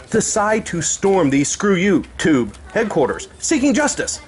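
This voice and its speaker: A man's voice: a little high, a little hiss or hum. A man reading a scripted, dramatic introduction as a narrator. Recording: roomy sound with music underneath.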